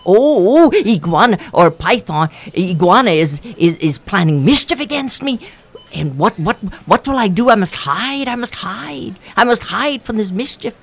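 A woman's voice: mid-range voice, huge pitch swings, a little slow, few pauses, slightly rough, voice pushed up, exaggerated voice. A woman voicing a friendly python who frets anxiously and repeats himself, in a told folktale. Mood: frightened. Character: friendly python turned frightened and fretful.

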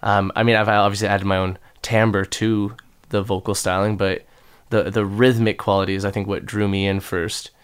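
A man's voice: fairly low pitch, flat delivery, moderate pace, hardly any pauses, smooth. A young man with a slightly nasal voice, a musician answering interview questions in a loose, rambling way with many fillers and restarts. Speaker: musician guest.

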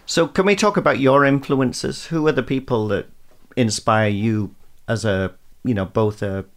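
A man's voice: medium pitch, measured pace. A man hosting a radio interview, asking enthusiastic questions and often searching for his words. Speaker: radio host.

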